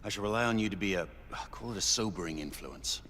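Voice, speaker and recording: A man playing a stern, aristocratic Victorian knight commander in a video game, speaking formally and with measured courtesy. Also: on the low side, fairly bright tone, slight background noise, natural voice. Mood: courteous, wry. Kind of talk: video game cutscene acting. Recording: long reverberant hall with music.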